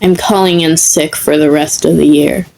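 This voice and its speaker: A woman voicing a weary, deadpan grown man who mutters flatly in a fan fiction reading. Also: deep voice, moderate pace, creaky, a little hiss or hum, voice pushed down, rough recording. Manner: muttering, flat.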